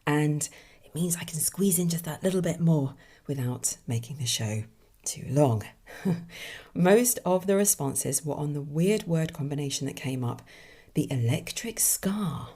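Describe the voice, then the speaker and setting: Low, husky, expressive woman podcast host. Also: unhurried. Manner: musing, expressive. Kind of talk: casual solo talk with expressive story reading.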